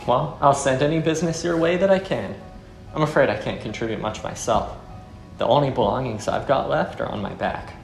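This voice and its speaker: A man playing a weary, brooding former soldier turned fisherman in a visual novel, speaking in a solemn, earnest, measured way. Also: mid-range voice, vocal fry, lightly acted. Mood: regretful, weary. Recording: echoey room under music.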